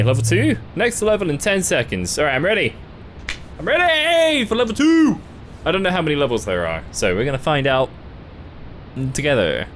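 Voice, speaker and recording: Young man, high voice, swooping, slow drawl, jokey horror game commentary, headset mic, music playing behind. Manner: jokey, reads on-screen text aloud, laughs and yelps at scares. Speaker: game streamer. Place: small dark room indoors.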